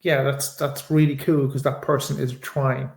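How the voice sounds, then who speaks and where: A man's voice: mid-range voice, flat delivery, normal speed, creaky, a little mellow. A middle-aged Irish man chatting on a podcast, laughing often and restarting his sentences in a self-deprecating way. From Ireland. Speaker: podcast co-host. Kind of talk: casual podcast chat. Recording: echoey room.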